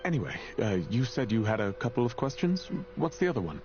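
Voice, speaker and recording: An actor playing a kindly, apologetic, self-doubting professor in a video game, speaking in a gentle, halting way. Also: male voice, mid-range voice, very animated, highs cut off, over music, close to an everyday voice. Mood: apologetic, hesitant. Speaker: professor and teacher character.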